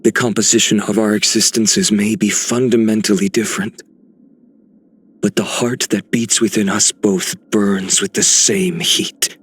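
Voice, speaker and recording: A noble game hero with a low, rough-edged husky voice. He speaks in formal, earnest phrases suited to a fantasy epic. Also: level tone, a little slow. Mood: warm, earnest. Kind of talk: scripted game character voice lines.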